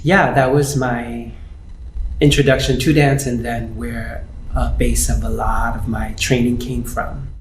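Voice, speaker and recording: A man from the Bronx, a dancer and choreographer, speaking slowly and thoughtfully with pauses in an interview. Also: medium pitch, little pitch movement, a little hiss or hum. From the Bronx, New York. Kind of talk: interview talk. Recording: roomy room with faint ambience.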